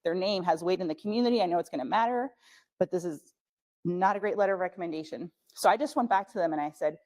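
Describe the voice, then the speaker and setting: A woman's voice: lowish voice, fast talker, darkish tone. A young woman whose first language is German, giving casual, frank advice at a scholarship workshop with plenty of fillers. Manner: chatty, frank, many fillers.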